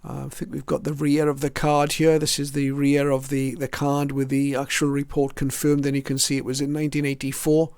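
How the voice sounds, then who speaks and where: A man's voice: medium pitch, completely flat delivery, brisk, hardly any pauses, a little mellow, clean tone, dry recording, clean studio sound. A middle-aged or older man giving a casual show-and-tell narration as a hobbyist, hesitant and reflective as he goes through items one by one. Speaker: shortwave radio hobbyist presenting his collection.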